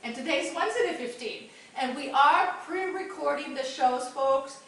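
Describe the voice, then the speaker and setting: An upbeat woman presents a cooking show in a chatty, casual way. Her voice sits a little high. She is recorded at a distance in a kitchen that echoes heavily. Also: normal speed, fairly bright tone. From Mexico. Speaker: cooking show host, chef. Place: home kitchen, indoors. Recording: very echoey room, distant camera mic.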